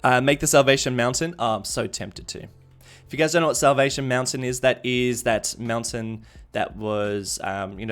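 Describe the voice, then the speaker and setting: A man's voice: lowish voice, fairly bright tone. A man casually commenting on a game live stream, thinking aloud as he chats with viewers. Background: background music.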